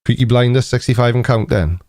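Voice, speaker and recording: A man's voice: mid-range voice, fairly quick, a little mellow, no echo. A man in his forties with a Welsh accent, hosting a casual live stream chat in a dry, teasing, mock-indignant manner. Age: 40s.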